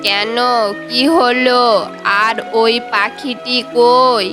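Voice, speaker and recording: A woman's voice: fairly high pitch, steady tone, extremely slow. A woman voicing a caring, worried fairy mother trapped as a frog in a children's cartoon, faint music behind. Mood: worried, motherly.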